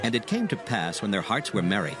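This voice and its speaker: A man narrating dramatized scripture in a solemn, measured storytelling manner. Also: fairly low pitch, huge pitch swings, over music, a crowd in the background.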